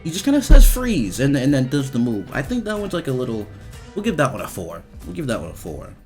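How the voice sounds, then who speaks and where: A man giving casual, slangy, profane commentary as he rates video game voice lines, with an animated, emphatic delivery. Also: mid-range voice, fairly quick, over music.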